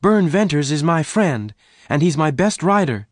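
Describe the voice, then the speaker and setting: A man's voice: mid-range voice, unhurried, no room sound, clean studio sound, pitched above the performer's own voice, natural voice. A man voicing a young woman rancher who cries out and pleads, along with other lines of dialogue.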